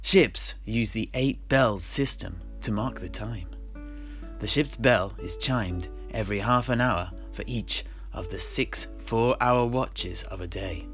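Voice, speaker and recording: A man playing a genial old-world seafarer who recounts his grandfather's voyages in measured storyteller's phrasing. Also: lowish voice, fairly bright tone. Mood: measured, explanatory. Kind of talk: in-character fantasy storytelling. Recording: music playing under the voice.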